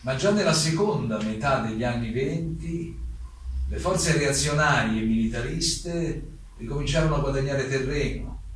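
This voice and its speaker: An actor playing an exiled German playwright giving testimony about his life in a measured, declamatory theatrical monologue. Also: medium pitch, close to an everyday voice. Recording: very echoey, distant mic, faint noise.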